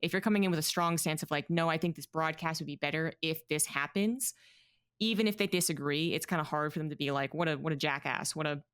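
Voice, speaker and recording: A woman's voice: sits low, quick pace, a bit bright, no echo, studio quality. A woman esports commentator hosting an interview over a video call, thinking aloud in a reflective way through a headset. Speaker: esports commentator, podcast host. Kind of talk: casual interview chat. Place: small room at home.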